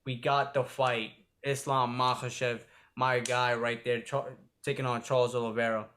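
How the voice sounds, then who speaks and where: A man's voice: medium pitch, flat delivery, moderate pace, a bit bright. A man co-hosting a sports podcast, talking loosely with lots of filler and swearing. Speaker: podcast co-host. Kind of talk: casual sports chat.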